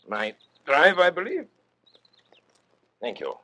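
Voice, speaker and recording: An actor playing a suave, menacing condemned criminal agent in an old-time radio drama, theatrical, urbane and mock-polite in a deliberate delivery. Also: male voice, a little high, huge pitch swings, bright, forceful tone, full character voice. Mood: suave mockery.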